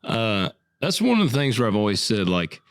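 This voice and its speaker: A man and farmer co-hosting a casual podcast, sick with a cough and a deeper voice than usual, blunt and joking. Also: very animated, moderate pace, no echo. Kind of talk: casual chat.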